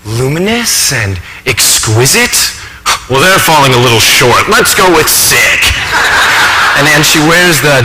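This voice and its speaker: A man performing a dramatic stage monologue as an earnest, anxious thirty-year-old college professor, confiding in halting, emphatic asides. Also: mid-range voice, bright, forceful tone. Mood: bewildered. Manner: conversational asides, halting, emphatic, self-questioning. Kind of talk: dramatic monologue for speech competition. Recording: echoey hall, faint background noise.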